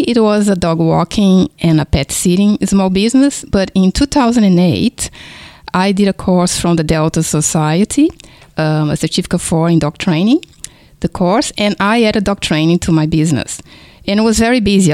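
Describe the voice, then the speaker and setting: A woman from Brazil with a Brazilian Portuguese accent, hosting a community radio show in a friendly, unhurried way. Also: fairly low pitch, a little mellow, no room sound. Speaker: radio host and dog trainer. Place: radio studio.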